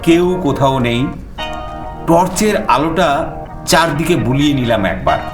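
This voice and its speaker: Expressive male suspense narrator played by an actor, echoing. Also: on the high side, normal speed, close to an everyday voice. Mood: suspenseful, excited. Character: male first-person narrator, adventurous, eager explorer visiting Paris. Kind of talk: dramatized suspense audio-story narration. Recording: echoing voice over background music.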